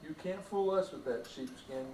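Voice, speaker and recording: A man's voice: on the high side, moderate pace, a little mellow, lightly acted. An older man voicing a merry, mocking little pig in a children's bedtime storybook. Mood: defiant. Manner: jeering, merry. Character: two cheerful, mocking little pigs. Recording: roomy, distant mic, faint noise.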